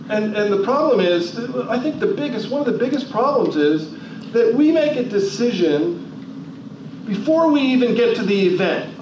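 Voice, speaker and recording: A man's voice: extremely high pitch, very animated, a little slow, pauses often. A man from New Jersey gives a conversational motivational talk as a professor, asking rhetorical questions and repeating them. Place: large hall at a symposium. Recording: echoey, distant, dull, noisy hall recording.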